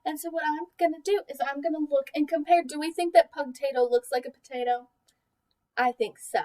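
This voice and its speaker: A woman teacher doing a children's read-aloud, talking expressively and deliberately and putting questions to her young listeners. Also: sits high, steady tone, dark tone, far from the mic. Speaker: teacher reading a picture book aloud to children.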